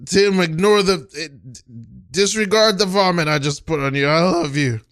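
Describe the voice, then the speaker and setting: A man's voice: high voice, moderate pace, fairly bright tone, no echo, pitched above the performer's own voice. A man playing a drunk, lovesick colonial father who pleads melodramatically in improvised comedy. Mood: lovesick pleading. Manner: pleading, overwrought.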